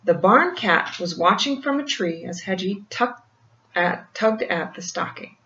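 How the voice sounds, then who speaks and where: Older woman, storybook read-aloud, gentle teacherly asides, creaky voice, roomy muffled recording. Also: medium pitch, expressive, a little slow. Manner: gentle teacherly asides, asking the child questions. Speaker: grandmother storyteller reading to children. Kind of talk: picture-book read-aloud with explanatory asides. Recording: muffled, roomy home recording with faint noise.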